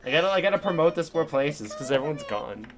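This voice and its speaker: A man's voice: on the high side, lively intonation, fast talker. A man giving casual live commentary as a game streamer, rambling and self-deprecating. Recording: livestream with faint game music.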